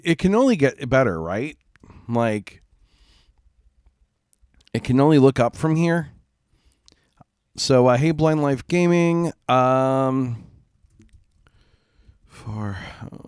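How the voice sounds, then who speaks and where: A man's voice: medium pitch, expressive, slow, pauses often, a little mellow, clean tone, dry recording, studio quality. A man with a cold and a stuffy, congested nose, hosting a live gaming stream in a rambling, apologetic way. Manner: rambling and apologetic, many fillers and restarts. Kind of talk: casual live-stream chat. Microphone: home streaming mic.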